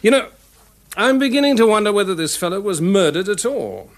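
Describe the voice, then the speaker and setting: English police detective, earnest and deliberating, highish lively voice, radio play. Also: male voice, brisk. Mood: earnest, pondering. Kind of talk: radio drama acting.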